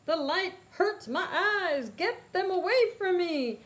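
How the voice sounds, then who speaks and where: Lady doing a loud snarly mole bully, slow and shouty. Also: very high-pitched, expressive, voice pushed up, heavily acted. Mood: panicked snarling. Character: sneering male mole bully.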